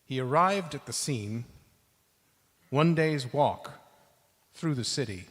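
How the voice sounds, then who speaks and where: Man telling a story slowly in a big hall. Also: mid-range voice, animated. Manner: theatrical, deliberate pauses between short phrases. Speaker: cast member in a dramatic reading. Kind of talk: dramatic spoken-word storytelling performance. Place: large synagogue hall. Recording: reverberant large hall.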